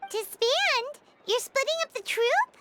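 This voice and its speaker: A woman voicing a small, childlike, perky fairy companion in an anime-style video game, with squeaky, bubbly, exaggerated delivery. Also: lively intonation, slow pace, heavy background noise, heavily acted. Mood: curious, helpful. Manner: bubbly, exaggerated, speaks of self by name.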